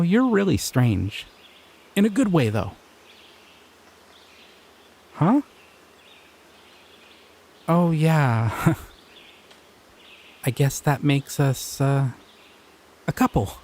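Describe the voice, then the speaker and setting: A young man voicing a shy, excitable male slime creature in an audio roleplay, talking in an earnest, flustered, giggly way. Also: fairly high pitch, gravelly, husky, exaggerated voice. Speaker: voice actor.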